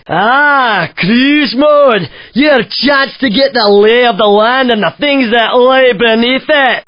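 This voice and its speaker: A man's voice: very high voice, no room sound. An actor playing a cheeky, theatrical male racing announcer in a video game. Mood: jaunty. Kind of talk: animated game commentary. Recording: slightly dull, dry game audio.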